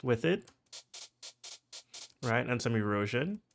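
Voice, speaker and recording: A man with a Trinidadian accent casually talking through how he produced a track, chatty and full of fillers, getting excited as he goes. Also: medium pitch, slow, creaky, faint music behind. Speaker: music producer.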